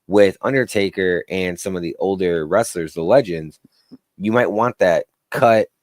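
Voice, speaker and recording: A man's voice: deep voice, measured pace, no echo. A man hosting a casual wrestling podcast, rambling with lots of fillers and eager asides. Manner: rambling, filler-heavy, enthusiastic. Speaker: podcast host. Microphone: studio mic.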